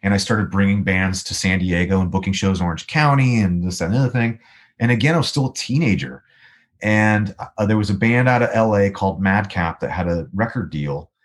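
A man with a naturally low baritone voice tells a winding story, stopping and restarting his sentences often. He is a guest musician in a casual interview. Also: a little fast. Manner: rambling, animated storyteller with many restarts.

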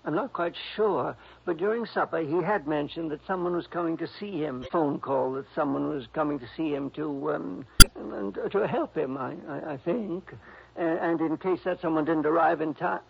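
An actor playing a sweet, dreamy, eccentric older composer, rambling and hesitant in a radio drama. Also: male voice, a little high, normal speed, gravelly, a little hiss or hum. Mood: worried, hesitant. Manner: rambling, fluttery, hesitant, chuckling. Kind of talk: 1960s radio drama acting. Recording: dull, lo-fi old radio recording.

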